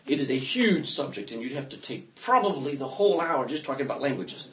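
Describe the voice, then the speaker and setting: Animated man teaching a Bible class. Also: medium pitch, a little fast, highs cut off, slight background noise. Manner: animated, joking, thinking aloud. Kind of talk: classroom lecture.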